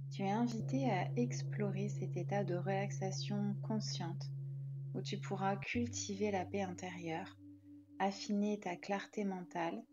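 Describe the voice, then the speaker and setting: A woman leading a guided relaxation meditation as a yoga nidra guide, calm and soothing in her delivery. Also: mid-range voice, muffled recording, noisy background.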